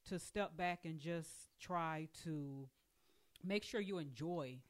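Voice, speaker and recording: A woman's voice: sits low, slow pace. A woman in her forties, a podcast guest, telling her story chattily with many fillers. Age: 40s. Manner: warm storytelling, many "you know" fillers. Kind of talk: casual group conversation.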